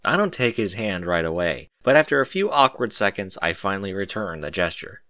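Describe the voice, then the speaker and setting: Male fiction narrator, low pitch, forceful and very lively intonation, dry close recording. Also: fairly quick, noisy background. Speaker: narrator of a fan fiction audiobook. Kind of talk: animated scripted narration.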